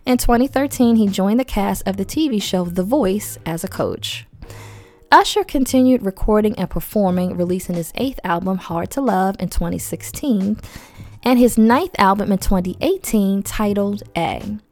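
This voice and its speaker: A woman's voice: mid-range voice, animated, normal speed, a little mellow. A woman hosting a casual podcast, stuffy and losing her voice from a head cold, reading facts with chatty, slangy asides. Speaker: podcast host.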